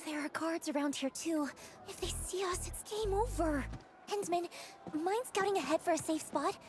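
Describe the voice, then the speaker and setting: A woman's voice: very high-pitched, moderate pace, darkish tone, faint music behind. A woman voicing a playful, sneaky young female idol in an anime-style video game. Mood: hushed urgency.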